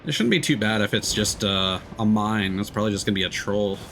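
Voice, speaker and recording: Low-voiced man rambling through game commentary. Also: a little fast, a bit bright, slight background noise. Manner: rambling, hesitant, thinking aloud. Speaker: video game livestreamer. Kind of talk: casual gameplay commentary. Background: game music.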